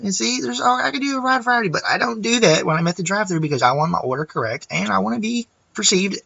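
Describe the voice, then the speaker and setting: A man's voice: on the high side, creaky, fairly bright tone, no echo, muffled recording. A man vlogger ranting casually to camera, chatty and rambling with restarts.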